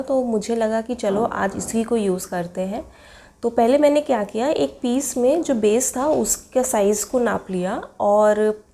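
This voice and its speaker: A young woman with a cold, her throat hoarse, chattily explaining a craft project step by step in a voice-over. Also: on the high side, mellow, slight background noise. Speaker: craft video maker.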